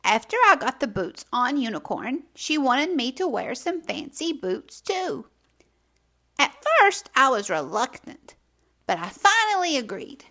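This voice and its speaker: A woman hosting a children's storytime, reading aloud expressively with theatrical, deliberate phrasing. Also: sits high, sing-song, pushed and bright. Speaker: children's storytime host and narrator.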